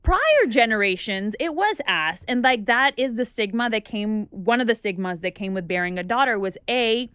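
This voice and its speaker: Earnest woman guest, smooth clear voice. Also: a little high, expressive, normal speed, few pauses, a bit bright, no room sound. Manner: earnest, thoughtful, lists points with frequent fillers. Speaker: podcast guest, activist. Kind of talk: casual interview conversation. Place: small podcast studio with foam panels. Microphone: studio microphone on boom arm.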